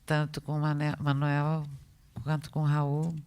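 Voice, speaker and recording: A woman with a gravelly, mid-pitched voice offers a few words at a museum seminar. She speaks slowly and hesitantly, with frequent laughter between phrases. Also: completely flat delivery, darkish tone. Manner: slow and hesitant, laughs often. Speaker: seminar co-organizer. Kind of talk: brief informal remarks at a seminar.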